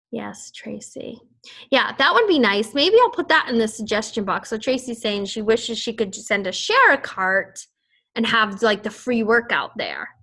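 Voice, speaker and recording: A woman's voice: fairly high pitch, sharp tone. A woman with a Boston accent leading a casual team call, chatty and rambling, laughing often. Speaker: fitness team leader.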